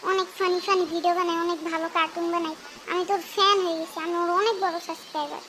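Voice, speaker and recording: Cartoon kid, teasing and chirpy, as someone puts on the role; very high, sharp voice. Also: fairly even intonation, rapid-fire, slight background noise. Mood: cheeky. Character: cheeky clever young boy quizzing his uncle. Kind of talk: cartoon dubbing.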